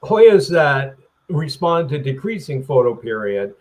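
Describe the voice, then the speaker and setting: A man with a mid-pitched voice talks casually as an interview guest over a webcam. He rambles and halts, clears his throat often, restarts his sentences and chuckles now and then. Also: sing-song, measured pace. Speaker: plant grower, interview guest. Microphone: webcam video call.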